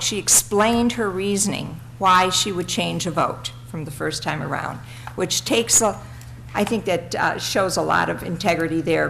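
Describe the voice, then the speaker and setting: A woman with a low, gravelly, raspy voice leads a city commission meeting. She talks in a friendly, chatty way. The sound picks up faint room noise. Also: a bit bright. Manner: friendly, chatty, laughs between remarks. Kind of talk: chairing a public meeting, casual remarks. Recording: noisy public meeting room recording.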